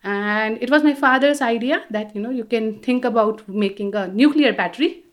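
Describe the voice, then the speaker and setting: A woman's voice: fairly high pitch, normal speed. A woman in her thirties from India with an Indian accent, answering interview questions in a conversational, explanatory way. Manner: explanatory, frequent "you know". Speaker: engineer, interview guest.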